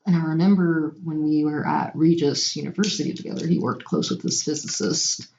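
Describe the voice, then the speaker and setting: Female podcast co-host, lowish voice, animated and reactive, casual swearing, roomy echoey recording. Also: fairly quick, vocal fry. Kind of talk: casual chat. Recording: dull, lo-fi, echoey room.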